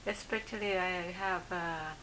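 Bright woman, halting English. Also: lowish voice, steady tone, a little hiss or hum. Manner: simple, halting phrasing. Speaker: interviewee. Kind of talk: casual conversational interview.

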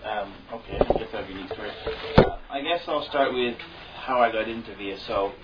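Casting: easygoing man, lowish voice, far from the mic, roomy and noisy. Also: brisk. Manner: easygoing, plain-spoken, with fillers. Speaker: returned volunteer. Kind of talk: informal talk to an audience. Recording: echoey room, distant dull mic, noise.

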